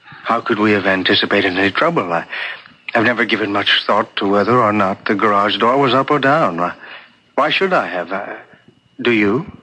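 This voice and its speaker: A troubled husband in a seventies radio mystery, burdened with guilt. His voice is on the low side with a bright, slightly tense edge, and he answers in hesitant, guarded starts. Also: normal speed, rough recording. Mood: evasive, uneasy. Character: guilt-ridden, evasive, unhappy husband and bereaved father. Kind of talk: 1970s radio mystery drama acting.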